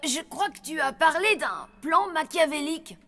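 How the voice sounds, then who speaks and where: A cheeky cartoon zombie kid, very high and lively in pitch. The youngster sounds sceptical and questioning, over clearly audible music. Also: female voice, moderate pace, exaggerated voice. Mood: wary, questioning. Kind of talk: French cartoon dubbing.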